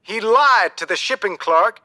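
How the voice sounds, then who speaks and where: Man acting a high, forceful ship captain. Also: animated, a little slow, full character voice. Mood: grave, explaining. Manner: emphatic, short urgent orders. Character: commanding male ship captain, urgent and grave. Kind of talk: audiobook character dialogue.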